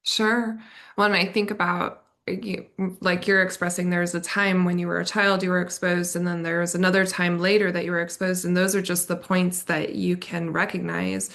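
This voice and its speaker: A woman's voice: on the low side, little pitch movement, moderate pace, gravelly, a bit bright. A woman interviewer in a reflective conversation, affirming and summing up what she has heard. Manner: affirming, summarizing what guest said.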